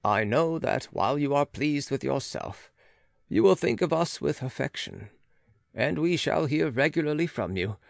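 Older father for a classic audiobook, read by a male narrator: formal, measured, gently reproving, dry sound. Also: a little high, lively intonation, gravelly, no echo.

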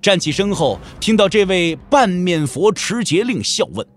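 Guy narrating a wuxia audiobook, dramatic, very up and down, on the higher side. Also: animated, normal speed.

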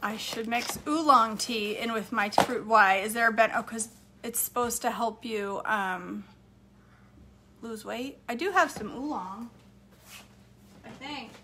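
A woman's voice: a little high, sharp tone, slight background noise. A woman in her forties from Maine, chatting casually and rambling on a livestream from her home kitchen as she answers viewer comments. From Maine, USA. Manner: chatty, rambling, quick to laugh. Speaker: home cook and livestream host. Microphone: phone camera at arm's length.

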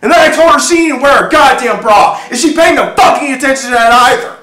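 A man's voice: fairly high pitch, a little slow, sharp tone, far from the mic, voice pushed up. A man acting a jealous, obsessive, volatile husband in a profane, emphatic, brooding stage monologue. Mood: furious, accusing. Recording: echoey room with faint noise.

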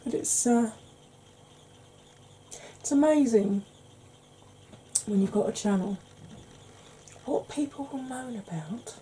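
A woman in her forties with a Welsh-tinged northern English accent, talking slowly and softly with wry humour while doing her makeup. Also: a little high, a little hiss or hum. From Wales and Yorkshire. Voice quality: soft-spoken, slow, wry. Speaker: makeup vlogger. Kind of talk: soft-spoken makeup tutorial chat.

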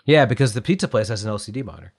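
A man's voice: on the low side, expressive, very fast, creaky, husky. A man co-hosting a podcast, making casual, wry, joking commentary with the others. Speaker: podcast co-host.

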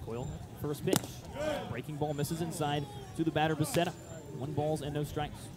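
A man's voice: a little high, steady tone, moderate pace, a crowd in the background. A man doing live baseball radio play-by-play in short, descriptive phrases. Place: outdoor ballpark broadcast position.

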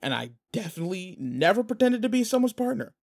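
A man acting in an audio roleplay as a protective, teasing, rough-edged but kind pretend boyfriend, talking intimately and casually. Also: high voice, big pitch swings, fast talker, darkish tone, heavily acted. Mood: firm, uneasy.

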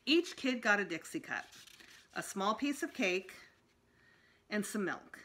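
Middle-aged female storytime reader, bright tone, lively swings in pitch. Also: measured pace. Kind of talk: expressive read-aloud of a children's book. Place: small indoor room at home.

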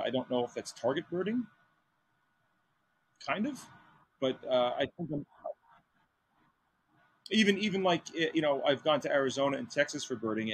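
A man's voice: slightly rough, rough recording. A man, talking animatedly on a video call from home, stumbling and restarting as his voice jumps up. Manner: animated, restarts, pitch jumps up when stressing. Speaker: birding podcast co-host. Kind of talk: casual chat. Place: home room with painting. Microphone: video call webcam.

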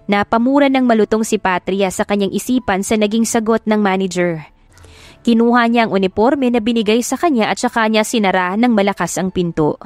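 A woman's voice: mid-range voice, darkish tone, dry recording. A woman with a Filipino accent narrating a dramatic audiobook. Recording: music behind the voice. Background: music.

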